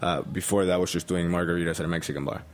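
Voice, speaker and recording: A man's voice: low voice, fairly even intonation, rapid-fire. A young man from Colombia with a Colombian Spanish accent, a bartender guest chatting hesitantly with many ums. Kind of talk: casual interview chat.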